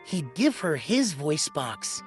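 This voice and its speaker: A woman's voice: low-pitched, very animated, slow. A woman narrating a children's read-along storybook in a scripted storytelling style. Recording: music behind the voice. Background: music.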